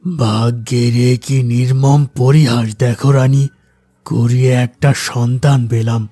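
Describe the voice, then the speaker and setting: A man voicing a sorrowful, kindly king in a cartoon folk tale, slow and solemn. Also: mid-range voice, fairly even intonation, warm tone, dry recording, faint music behind, natural voice.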